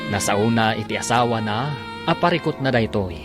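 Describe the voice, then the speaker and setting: A man's voice: fairly low pitch, lively intonation, moderate pace. A man narrating a scripted radio drama as its announcer, with a formal, dramatic delivery. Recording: music behind the voice.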